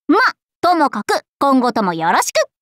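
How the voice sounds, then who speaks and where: A woman's voice: sits high, huge pitch swings, moderate pace, a bit bright, no room sound, clean studio sound, full character voice. A woman voicing a cheerful, scatterbrained androgynous young male knight in an anime game, playful and impulsive. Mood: excited, teasing. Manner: bubbly, impulsive, playful exclamations. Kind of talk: anime game character acting.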